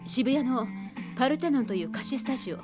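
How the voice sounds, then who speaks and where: An actress playing a composed female investigator who reasons aloud calmly in a radio drama. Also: high voice, over music. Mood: measured. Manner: calm, measured, reasoning aloud.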